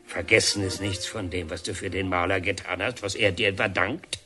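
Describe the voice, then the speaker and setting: An actor playing an expansive, sentimental older painter in a radio drama, making a halting, theatrical speech. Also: male voice, deep voice, fairly even intonation, bright, forceful tone. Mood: jovial, proud. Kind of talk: German radio drama acting.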